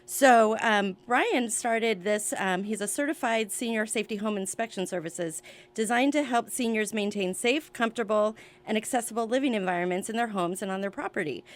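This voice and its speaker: A woman with an average-pitched voice speaks as a radio guest. She talks in an informational interview style, conversational and unscripted in feel. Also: normal speed. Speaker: radio show guest, senior seminar organizer.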